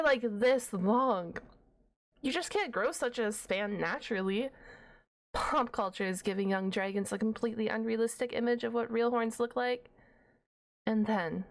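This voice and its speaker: A woman playing a shy, nerdy, easily flustered young half-dragon librarian in an intimate ASMR roleplay, halting and stammering. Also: on the high side. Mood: indignant, self-conscious. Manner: bashful, halting, stammering, nervous giggles.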